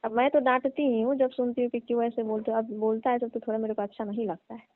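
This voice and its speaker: A woman's voice: a little high, level tone, quick pace, no echo, lightly acted. A woman playing a lovesick, flirtatious village woman with a Bihari accent, coaxing and coy in a comic phone call. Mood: defensive. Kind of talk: comic prank-style phone call, conversational. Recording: muffled narrowband phone call.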